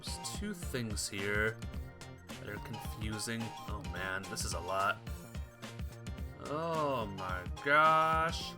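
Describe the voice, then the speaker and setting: A man's voice: on the low side, slow. A man playing a visual novel on stream, commenting casually and reading the game's text aloud, sometimes stumbling and correcting himself. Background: game music.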